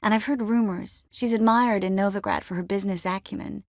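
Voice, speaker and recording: A woman playing an adult sorceress in a video game, warm and wry yet resolute, speaking conversationally and often softly. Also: mid-range voice, steady tone, a little fast, no room sound, clean studio sound, close to an everyday voice. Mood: firm, composed. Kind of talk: video game dialogue voice acting.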